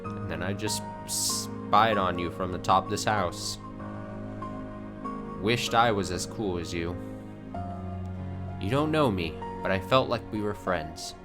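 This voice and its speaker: A man with a lowish voice streams his reactions over clearly audible game music. He switches between reading dialogue aloud and casual, sweary commentary, with an incredulous manner. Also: slow, pauses often. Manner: sweary, incredulous, often laughing. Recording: game music playing behind. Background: video game cutscene music.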